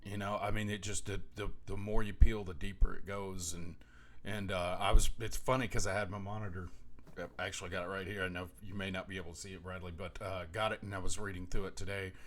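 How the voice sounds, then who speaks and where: A man's voice: deep voice, little pitch movement, fast talker, fairly bright tone, slight background noise. A man hosting a casual conversation, friendly and chatty, with frequent restarts. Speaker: podcast host.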